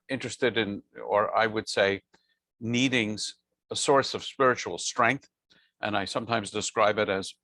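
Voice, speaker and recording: A man's voice: fairly low pitch, pushed and bright, dry recording. A man in his sixties, a rabbi, telling his own story with earnest, wry thoughtfulness on a video call. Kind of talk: personal storytelling on a panel. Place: home study with bookshelves. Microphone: video call with lapel mic.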